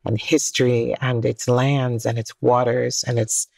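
A woman's voice: extremely low pitch, flat delivery, unhurried, creaky, darkish tone. A woman organizer speaking earnestly and reflectively as an interview guest.

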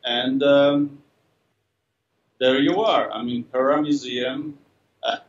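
A middle-aged man speaks at an unhurried, halting pace, full of fillers and self-corrections. His voice comes through a laptop video call, dull and far from the mic, with a roomy echo in the studio room. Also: mid-range voice, steady tone. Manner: slow, hesitant, many fillers and restarts. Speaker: interviewed artist. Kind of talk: thoughtful interview answers. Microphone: laptop webcam on a video call.

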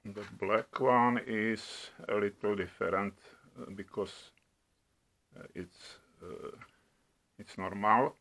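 A middle-aged man from the Czech Republic with a Czech accent, demonstrating products at home in a slow, halting way with many hesitations. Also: on the low side, fairly bright tone. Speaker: product reviewer. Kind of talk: casual product demo. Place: home room at a table.

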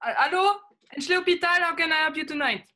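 High sharp female voice, French accent, officious distracted receptionist, acted by a young woman, hesitant, politely dismissive, video-call play. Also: fast. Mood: brisk, distracted. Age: young adult. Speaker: actress. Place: indoors, plain room. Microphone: webcam video call.

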